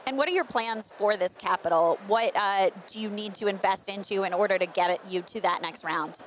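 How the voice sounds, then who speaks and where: A woman's voice: medium pitch, fairly quick. Woman interviewer with faint noise behind her. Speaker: editor and interviewer. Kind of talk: on-camera trade show interview. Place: busy trade show booth indoors. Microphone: handheld microphone. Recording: faint convention hall background noise.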